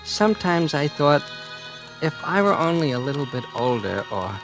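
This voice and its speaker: A troubled young man in a vintage radio thriller, his voice on the high side with music behind it. He is polite and earnest, with a hesitant delivery that hides a killer. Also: very animated, moderate pace. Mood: wistful, intense. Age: young adult. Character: outwardly polite, troubled young male murderer, confessing his crimes. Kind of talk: 1940s-50s radio drama acting and first-person narration. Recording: old radio recording with music. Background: dramatic music.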